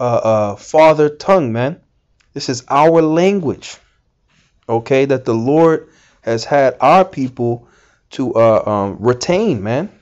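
A man's voice: mid-range voice, darkish tone, muffled recording. A man giving informal scripture teaching, rambling with many fillers and restarts. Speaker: Bible teacher.

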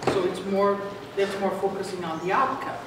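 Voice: mid-range voice, normal speed. A workshop participant explaining a point in a group discussion, thinking aloud. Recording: echoey room, distant, noisy.

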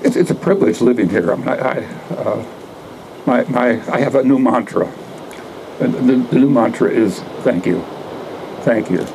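An older man in his seventies giving a live poetry reading at a microphone outdoors, speaking in deliberate, declamatory short phrases. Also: mid-range voice, lively intonation, creaky, background noise. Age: older, 70s. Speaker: poet reading own work. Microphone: microphone on a stand.

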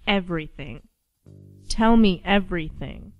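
A woman playing a calm, scary captor in a radio play, composed and politely menacing. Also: lowish voice, measured pace, dull audio, soft background music. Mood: controlled, probing.

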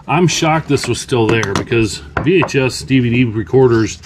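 A man's voice: medium pitch, animated, normal speed. American man chatting over background noise. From United States. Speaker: reseller and vlog host. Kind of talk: casual haul chat. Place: cluttered indoor workroom. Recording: noisy room, clinking items handled.

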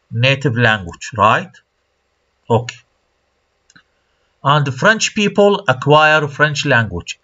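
A man's voice: medium pitch, pushed and bright. A man with an Iraqi Arabic accent giving a recorded online lecture. He repeats key phrases and puts rhetorical questions to his students. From Iraq. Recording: dull lo-fi screen-recorded lecture audio.

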